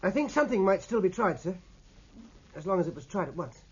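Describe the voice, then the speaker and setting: High husky British young naval lieutenant. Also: male voice, sing-song, fast, exaggerated voice. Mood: deferential, careful. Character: keen, deferential young naval lieutenant, quietly confident. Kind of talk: 1950s radio drama acting. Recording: muffled vintage radio recording.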